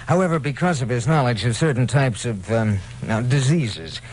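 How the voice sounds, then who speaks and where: An actor playing a calculating, crooked attorney in a vintage radio detective drama, suave and persuasive. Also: male voice, mid-range voice, expressive, moderate pace, close to an everyday voice. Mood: oily, cordial. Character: smooth-talking crooked male attorney, calculating and commanding. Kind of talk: 1940s-50s radio detective drama acting. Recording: noisy vintage radio recording.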